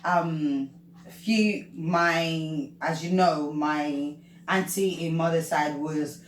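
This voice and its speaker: A young woman hosting a casual chat, talking in quick questions and laughing often. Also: low voice, a little hiss or hum. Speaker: host. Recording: roomy, from far off.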